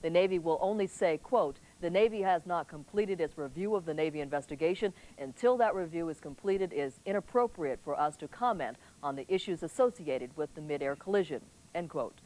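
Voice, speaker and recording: A woman's voice: sits low, expressive, a little fast, hardly any pauses, darkish tone, rough recording. A woman reading local television news as an anchor, in scripted newscast delivery.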